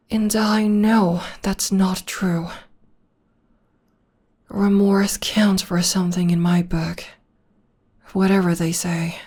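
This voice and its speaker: A young woman voicing a gentle, devoted witch girlfriend in an ASMR comfort roleplay, speaking softly and often in a whisper. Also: medium pitch. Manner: soft, soothing, often whispering. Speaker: voice actor.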